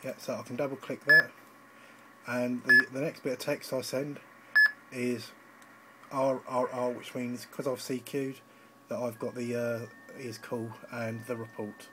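A man's voice: mid-range voice, little pitch movement, measured pace, very noisy. A man giving an informal, slightly hesitant live demonstration as an amateur radio operator, recorded on a handheld phone indoors. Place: indoors at a radio station desk.

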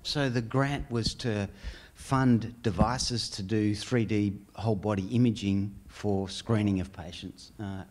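Lowish-voiced, halting male panellist. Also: fairly even intonation, darkish tone. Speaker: panel member. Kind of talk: explanatory panel answer. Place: large auditorium stage.

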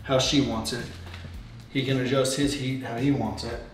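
A man's voice: medium pitch, level tone, moderate pace, heavy reverb, soft background music, low-quality recording. A man, giving blunt, crude commentary while walking through an unfinished house. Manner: crude joking, blunt opinions. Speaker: real estate vlogger. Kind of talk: casual walkthrough commentary. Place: unfinished house under construction, indoors and outdoors.

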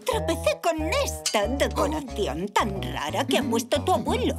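A woman's voice: high voice, moderate pace, full character voice. A woman with a Castilian Spanish accent voicing a kindly, spry old great-grandmother zebra in a children's cartoon. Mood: puzzled. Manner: expressive, amused, fond of the little ones. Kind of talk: animated children's cartoon dub. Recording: music behind the voice.

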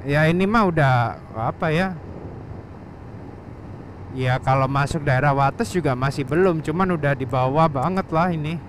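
Slow-talking man on a motorbike. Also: fairly high pitch. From Surabaya, Indonesia. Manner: informal, slangy, refers to himself by name. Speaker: motovlogger. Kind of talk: casual chat while riding a motorbike. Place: outdoors on a moving motorbike on a village road. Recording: engine, wind and road noise. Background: motorbike engine and passing traffic.

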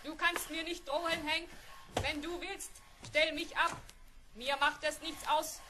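A woman's voice: high voice, level tone, a little slow, noisy background. A woman playing a willful, defiant robot in a vintage science fiction radio play, with a cool, precise delivery. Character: willful, defiant robot R7 talking back to its pilot. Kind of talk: vintage German sci-fi radio play acting.

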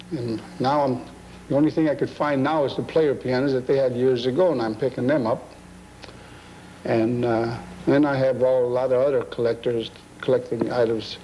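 Bright-voiced older man, halting talker. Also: mid-range voice, poor audio. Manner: halting, plain-spoken, short phrases. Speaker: collector interviewed. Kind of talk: casual unscripted interview. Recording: old degraded videotape, faint noise.

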